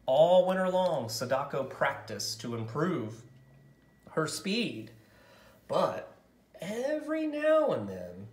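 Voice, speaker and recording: A man narrating a picture book aloud as a storyteller, with expressive, dramatic and deliberate phrasing. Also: on the high side, reverberant.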